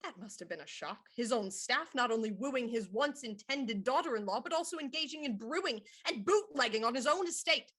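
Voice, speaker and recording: A woman's voice: fairly high pitch, lively intonation, a little fast, hardly any pauses, fairly bright tone. A woman playing a shrewd, probing police inspector in a radio murder mystery, pointed and theatrical. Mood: accusing.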